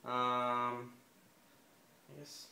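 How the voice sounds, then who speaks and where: A man in his forties being interviewed, talking in a hesitant, soft-spoken way with many fillers and restarts. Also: medium pitch, level tone, extremely slow, creaky. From United States. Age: 40s. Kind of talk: personal interview. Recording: echoey room, faint ambient noise.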